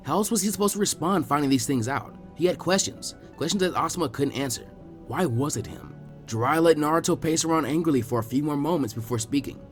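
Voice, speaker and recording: A man reading fan fiction aloud in an expressive audiobook style. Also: fairly high pitch, fast talker, soft background music.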